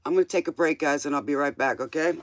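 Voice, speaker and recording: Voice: mid-range voice, quick pace, no echo. A livestream tarot host chatting casually in a rambling, informal way, drifting between thoughts and tossing out questioning asides.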